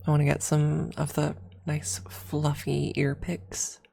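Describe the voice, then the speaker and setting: Low, breathy, slow-talking woman streamer. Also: completely flat delivery. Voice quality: soft, breathy, often near a whisper. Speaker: ASMR streamer, host. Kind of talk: casual ASMR livestream chat with viewers. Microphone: close ASMR microphone.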